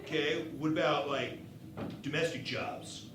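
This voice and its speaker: A man's voice: a little high, measured pace, far from the mic. A man playing a brash, dim, over-eager young ensign in a comedic parody radio play. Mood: goofy. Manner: eager, goofy. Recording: echoey room, off-mic, faint noise.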